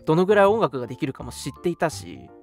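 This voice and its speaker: A man's voice: fairly high pitch, wildly swooping pitch, very fast, darkish tone, faint music behind. A man in his twenties co-hosting a radio show, reminiscing in casual conversation. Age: 20s. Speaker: radio show co-host and drama studio member.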